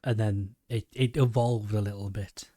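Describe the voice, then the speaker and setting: A man's voice: on the low side, steady tone, normal speed, warm tone, no room sound. A man with a Geordie accent hosting a podcast, chatting casually and often restarting his sentences. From England. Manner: rambling, many restarts. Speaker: podcast host. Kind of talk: casual chat.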